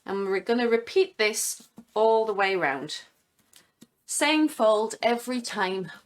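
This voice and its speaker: Female guest craft presenter, higher voice, instructional step-by-step delivery, recorded at home. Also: expressive, takes it slowly, slight background noise. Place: living room at home.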